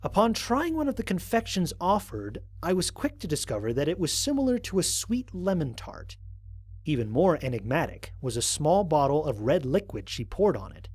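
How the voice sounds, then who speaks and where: A man playing an observant, analytical space explorer, narrating formal mission logs in a measured, precise way for an audio drama. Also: a little high, sing-song, darkish tone, husky. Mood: intrigued, measured. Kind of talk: scripted first-person audio drama narration.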